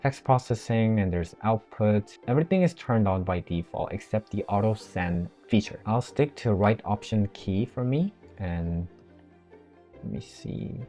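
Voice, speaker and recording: Low, mellow man explaining tech. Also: expressive, a little slow, faint music behind. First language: Korean. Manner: chatty, explanatory, tosses in "right?" to the viewer. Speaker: tech YouTuber. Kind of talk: casual screen-recorded tutorial and sponsored review. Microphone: wireless microphone.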